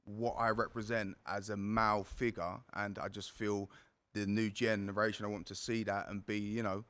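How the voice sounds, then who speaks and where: A man's voice: lowish voice, little pitch movement, moderate pace, fairly bright tone. Rambling South London man, casual talk. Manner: loose, rambling, heavy on fillers and "bro". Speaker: podcast guest, bare-knuckle fighter. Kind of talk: casual conversational interview.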